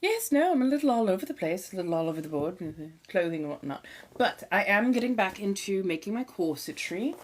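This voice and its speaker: A woman's voice: mid-range voice, big pitch swings, fast. A woman with a put-on 1700s colonial transatlantic accent, chatting with viewers on a live stream in a theatrical vampire persona, with ornate wording and calling people darling.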